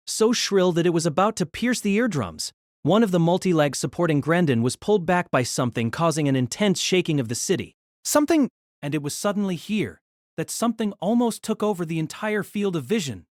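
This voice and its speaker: Expressive, higher-voiced male narrator. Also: no room sound, clean studio sound. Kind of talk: dramatic scripted narration of a fantasy novel.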